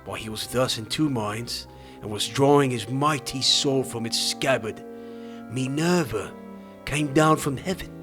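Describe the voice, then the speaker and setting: A man's voice: mid-range voice, measured pace, a bit bright. A man narrating an epic audiobook in a grave, theatrical storytelling style, with music behind him. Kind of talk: dramatic scripted audiobook narration.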